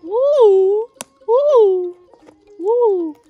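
A young woman from Uganda, a vlogger, unboxing outdoors in a village yard, talking with giddy excitement, laughing often and exclaiming. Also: very high-pitched, very slow, phone-quality sound, soft background music. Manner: giggly, breathless with excitement, frequent laughs and exclamations. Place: outdoors in a rural village yard, seated on a mat.

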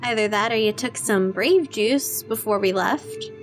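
A woman's voice: fairly high pitch, big pitch swings, a little slow, music in the background. An actress playing a young woman in a horror audio drama, a game but uneasy best friend with a casual, wry way of talking. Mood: wry, anxious.

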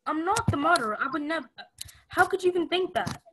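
A woman's voice: extremely high pitch, lively intonation, fast, noisy background. A young woman playing a meek, panicky maid pleading her innocence in a radio play. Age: young adult.

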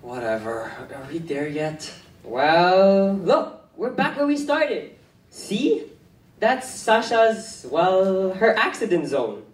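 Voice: mid-range voice, sing-song, slow pace. A grumbling, lazy young hiker played by a teenage student in a school radio drama. The voice swings widely and sarcastically, heard a little far from the mic in an echoey hall. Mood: disgusted, whiny. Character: lazy, whiny, snack-loving sarcastic kid hiker. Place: indoor theatre stage. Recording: echoey stage hall, distant microphone.

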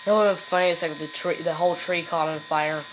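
A woman's voice: on the low side, steady tone, fast, background noise. Rambling giggly woman commenting on a game. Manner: rambling, giggly, lots of fillers and silly rhymes. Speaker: gameplay commentator. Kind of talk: casual let's play commentary. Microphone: phone filming the TV.

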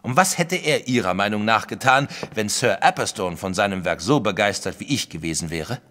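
An actor playing a proud, stubborn gentleman art collector, huffy and emphatic, in a German audio drama. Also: lowish voice, lively intonation, brisk, big-room sound. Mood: indignant, proud. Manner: huffy, emphatic, self-satisfied.

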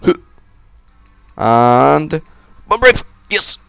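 Gravelly young man with a cold. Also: a little high, very slow, dry recording, soft background music. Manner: excitable, swearing, joking with friends. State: sick, voice a little off. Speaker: gameplay commentator. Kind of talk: casual gameplay commentary. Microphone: close-up desk mic.

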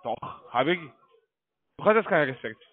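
A man's voice: on the high side, very animated, moderate pace. Mumbling foreign-accented man on a phone. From Russia. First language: not German. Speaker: call-centre caller. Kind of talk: phone sales call. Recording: tinny phone line.

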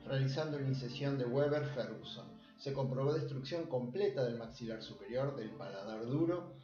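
An Argentine man, a surgeon, reads a medical case presentation in a measured, formal tone. His voice is mellow and relaxed, and it sounds dull and a little roomy, recorded at a desk webcam in a small office. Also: medium pitch. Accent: Argentine Spanish. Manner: measured, formal clinical reading.

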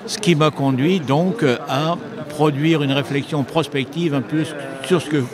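An older man, a retired journalist, answering interview questions in a measured, explanatory way with frequent hesitations, at a book fair stand into a handheld mic. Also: fairly high pitch, fairly even intonation. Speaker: retired agricultural journalist, author. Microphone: handheld mic held by interviewer. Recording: noisy crowded hall. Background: crowd chatter.

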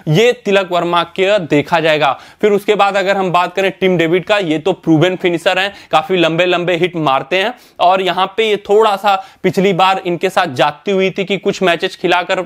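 A man's voice: sits high, steady tone, normal speed, few pauses, a bit bright. A young man presenting a cricket analysis to camera in a studio, explaining steadily with fillers and self-corrections. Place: indoor studio in front of a screen.

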